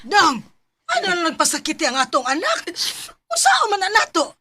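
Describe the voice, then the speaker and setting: A woman's voice: extremely high pitch, wildly swooping pitch, normal speed, pushed and bright, exaggerated voice. A woman acting a protective, anxious middle-aged mother in a Bisaya radio drama, with exclamatory delivery. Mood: distressed, protective. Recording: faint background noise.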